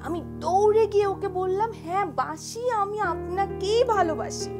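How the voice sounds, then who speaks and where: A woman's voice: extremely high pitch, moderate pace. An actress playing a sentimental married woman, telling her love story in a girlish, conversational Bangladeshi voice in a TV drama. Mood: animated, nostalgic. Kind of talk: TV drama dialogue, intimate storytelling. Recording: music bed under the voice.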